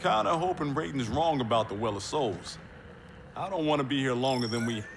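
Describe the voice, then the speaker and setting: Earnest young soldier over clear noise. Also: male voice, mid-range voice, expressive, fairly quick. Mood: wary. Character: earnest young male soldier, reflective, reassuring fighter. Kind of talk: video game cinematic acting.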